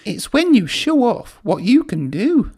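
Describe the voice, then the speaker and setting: A man's voice: very high-pitched, very animated, measured pace, dark tone, voice pushed up, heavily acted. A man voicing a friendly, helpful young tank engine in a storybook, explaining kindly.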